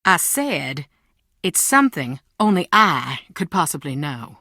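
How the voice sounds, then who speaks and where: Game role: middle-aged mother, proud and anxious, defensive, lively swooping delivery, close dry sound. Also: deep voice, a little slow, no room sound, exaggerated voice. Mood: bitter, shaken. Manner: defensive, theatrical, emotionally expressive. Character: proud, anxious Southern mother guarding family secrets. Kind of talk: video game voice acting.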